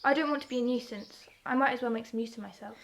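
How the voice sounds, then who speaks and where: A woman's voice: on the high side, fast talker, vocal fry. An actress playing an eager, apologetic young girl in an audio drama, polite and anxious to be useful. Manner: polite, chattering.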